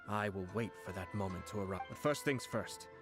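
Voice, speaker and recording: An actor playing a composed, shrewd aristocratic clan leader in a video game, speaking formally and deliberately. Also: male voice, lowish voice, music in the background, lightly acted. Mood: calm, resolute. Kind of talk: scripted video game voice acting.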